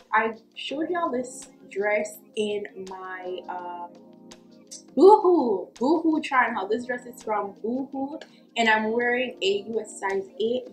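A woman's voice: fairly high pitch, a bit bright, roomy sound. A young woman chatting casually as a fashion vlogger, emphatic and slangy, drawing words out for effect. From Jamaica. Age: young adult. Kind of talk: casual chatty lookbook commentary. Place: small furnished room at home, indoors. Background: faint music.

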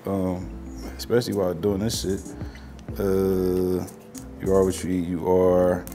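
Low-voiced American man chatting casually. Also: flat delivery, unhurried, mellow. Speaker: guest, music artist. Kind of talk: casual chat. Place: home-style kitchen, indoors. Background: music.

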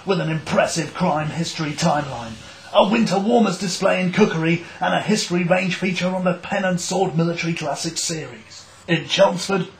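A man's voice: high-pitched, creaky, slight background noise. A man playing an earnest, self-important British TV reporter in a mock investigative news show, with dramatic, portentous delivery. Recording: roomy voice with music behind.

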